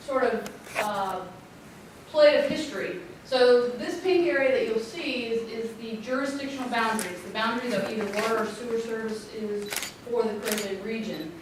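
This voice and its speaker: Woman, fairly high voice, loud explanatory presentation, echoey room, far from mic, background hum. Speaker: engineer presenting a water plan. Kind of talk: public meeting presentation. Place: community meeting room.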